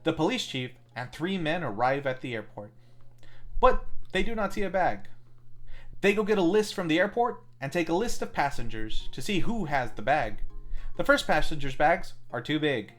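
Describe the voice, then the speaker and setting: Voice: medium pitch, very animated, normal speed. A film review host narrating a scripted plot recap with tongue-in-cheek asides. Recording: music under the voice.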